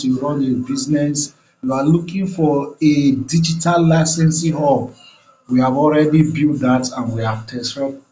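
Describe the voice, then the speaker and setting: A man casually announcing his company's software plugins, explaining in loose, unhurried phrasing. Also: medium pitch, highs cut off, a little hiss or hum.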